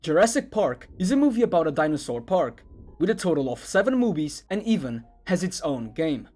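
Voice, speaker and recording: A man's voice: a little high, sing-song, brisk, mellow. A man reading scripted narration in the style of an internet video essay. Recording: music under the voice. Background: background music.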